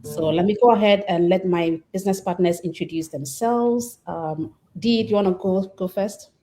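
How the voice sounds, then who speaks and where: A woman from Ghana hosting a live online panel discussion, speaking in a measured way with occasional fillers. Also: medium pitch, dark tone. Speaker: host.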